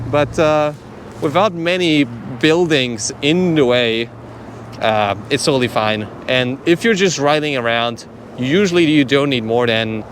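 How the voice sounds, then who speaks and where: A man's voice: mid-range voice, a bit bright, a little hiss or hum. A man giving a casual, chatty product demonstration as a vlogger.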